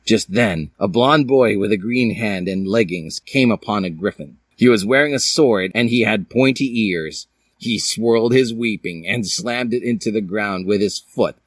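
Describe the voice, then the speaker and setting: A man's voice: fairly low pitch, moderate pace, no room sound. A man reading fan fiction aloud as a dramatic, comic storyteller with a theatrical manner. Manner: theatrical, puts on character voices.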